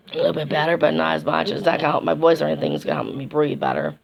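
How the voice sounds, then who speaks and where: A woman talking casually to her vlog audience, hoarse and nearly losing her voice from a sinus infection, rambling with many restarts. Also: sits low, fast talker. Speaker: YouTube vlogger. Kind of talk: casual vlog chat to camera.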